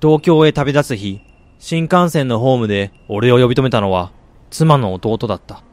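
A man acting in a radio drama as a driven businessman and husband, telling his life story in a measured, reflective way. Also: medium pitch, close to an everyday voice. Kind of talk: radio drama monologue, literary narration. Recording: music bed behind the voice.

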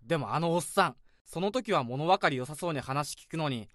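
A man's voice: a little high, lively intonation, fairly quick, a bit bright, no room sound, studio quality. An actor playing a brash, complaining young male office worker in his twenties in a radio drama.

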